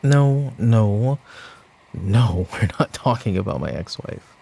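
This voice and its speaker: A man playing a weary, exasperated mafia boss in an ASMR audio drama, talking in a dry, halting way. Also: lowish voice, very animated, creaky, warm tone, husky, heavily acted. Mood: incredulous, flustered. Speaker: voice actor in audio roleplay.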